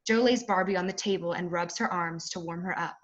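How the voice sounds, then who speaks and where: A woman's voice: on the low side, fairly quick. Woman reading stage directions, dull audio. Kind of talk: scripted narration for a play reading.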